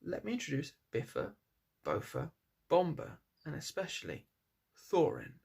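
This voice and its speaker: A man's voice: on the high side, wildly swooping pitch, unhurried, gravelly, darkish tone, husky, exaggerated voice. A man voicing a good-humoured old male wizard, genial and authoritative, in a storybook reading. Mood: genial chiding.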